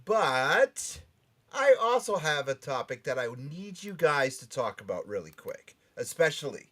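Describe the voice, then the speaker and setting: A man hosting a casual show excitably, with showy announcer flourishes and repeated phrases for emphasis. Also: fairly high pitch, measured pace. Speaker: podcast host.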